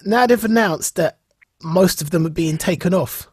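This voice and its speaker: A man's voice: high voice, moderate pace, husky, no echo, clean studio sound. A man co-hosting a podcast, chatting casually and animatedly, often repeating phrases for emphasis. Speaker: podcast co-host. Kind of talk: casual chat about comics and film news.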